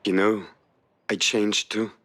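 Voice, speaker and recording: An actor playing a wry, cocky but hopeful ex-boyfriend, with a dry, casual manner in a naturalistic game performance. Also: male voice, on the low side, flat delivery, takes it slowly, sharp tone, full character voice. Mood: earnest. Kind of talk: naturalistic visual novel voice acting.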